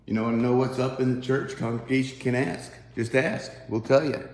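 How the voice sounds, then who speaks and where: A man's voice: mid-range voice, normal speed. A man in his fifties with a Southern accent, a pastor giving an informal, folksy morning devotional to a phone camera in a small church sanctuary. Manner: folksy, conversational, animated. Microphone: phone camera on a tripod, close up. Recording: very echoey church room.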